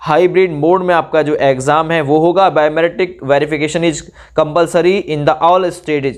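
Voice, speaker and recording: A man's voice: on the high side, fairly even intonation, takes it slowly. A man presenting an exam update, explaining step by step with frequent check-in tags.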